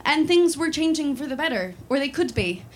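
A woman's voice: sits high, expressive, fairly quick, a little hiss or hum. A woman in her twenties from Sweden, talking with many fillers and restarts, then reciting a spoken word poem built on repeated refrains. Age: 20s.

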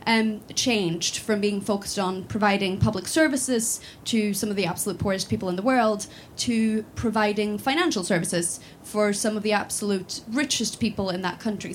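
A woman's voice: mid-range voice, a little hiss or hum. A woman in her twenties from Sweden, talking with many fillers and restarts, then reciting a spoken word poem built on repeated refrains. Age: 20s.

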